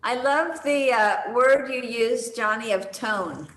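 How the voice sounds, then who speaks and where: A woman sharing her thoughts in a conversation circle, measured and reflective. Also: fairly high pitch, a bit bright, a little hiss or hum. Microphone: video call. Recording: roomy video call audio.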